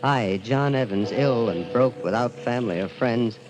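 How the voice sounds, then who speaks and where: World-weary, broke man played by a male actor, brooding and melodramatic, mid-pitched, music under vintage radio play. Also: normal speed, close to an everyday voice, rough recording. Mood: brooding, bleak. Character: despairing, broke, world-weary man narrating his story. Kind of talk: old-time radio first-person drama. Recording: old radio recording with music.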